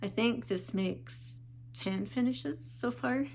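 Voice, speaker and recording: A woman talking casually in a show-and-tell vlog, calm and unhurried with small pauses, sounding a bit sniffly. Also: a little high, a little hiss or hum, low-quality recording. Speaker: vlogger.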